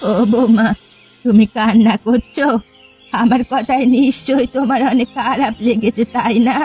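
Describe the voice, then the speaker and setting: A woman playing a jealous, accusing elderly village mother-in-law in a melodramatic cartoon, with slow, emphatic delivery. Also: fairly high pitch, steady tone, a little mellow, faint music behind, close to an everyday voice. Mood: remorseful, pleading. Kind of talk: melodramatic cartoon family comedy acting.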